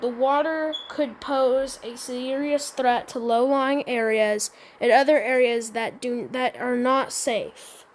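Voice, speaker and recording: A high-voiced woman plays announcer for a homemade emergency bulletin. She speaks haltingly, often doubling back on her phrases, over clear background noise. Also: steady tone. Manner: halting, with frequent stumbles and repeated phrases. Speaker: announcer in a hobby emergency alert scenario. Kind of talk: improvised emergency news announcement, amateur role-play.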